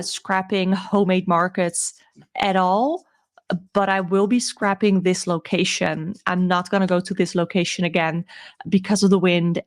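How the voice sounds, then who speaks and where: Woman, croaky voice, mid pitch, casual vlog recap, informal, hesitant, thinking aloud. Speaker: crochet seller and video host.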